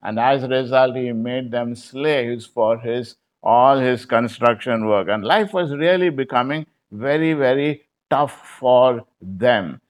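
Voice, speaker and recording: A man's voice: mid-range voice, a little slow, few pauses. Man preaching with repeated phrases. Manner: repeats key phrases for emphasis; conversational, many "you know" fillers. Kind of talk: bible study sermon.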